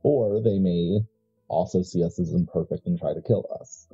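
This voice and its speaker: Man playing a low, husky, scheming occult heir. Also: warm tone. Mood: proud, scheming. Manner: deliberate, halting, explanatory. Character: scheming male occult heir, measured, proud, coldly calculating. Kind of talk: improvised tabletop horror roleplay. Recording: muffled mic with music behind.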